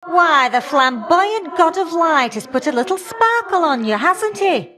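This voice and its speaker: A woman's voice: very high-pitched, lively intonation, measured pace. A woman voicing an older goddess of the hearth in a video game, blunt, hot-tempered and affectionate, with a folksy, teasing, auntie-like way of talking. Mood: cheeky, teasing. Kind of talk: video game character dialogue.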